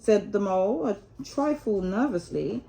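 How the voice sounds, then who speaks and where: A woman's voice: mid-range voice, animated, a little slow, vocal fry, dark tone, soft background music, rough recording. A woman storyteller reading a children's storybook aloud. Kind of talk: storybook narration read aloud.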